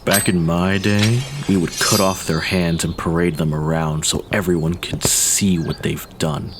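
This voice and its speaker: A man's voice: sits low, fairly even intonation, a little slow. A man playing a cruel, bitter older guard who grumbles with disdain in a fantasy audio drama. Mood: grumbling disdain. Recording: clearly noisy background.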